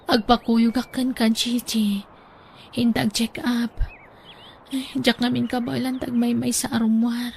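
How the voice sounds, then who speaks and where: A woman voicing an ailing young wife and mother in an audio drama, talking in a soft, worried, hushed manner. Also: on the high side, flat delivery, measured pace, gravelly, long pauses, husky, exaggerated voice.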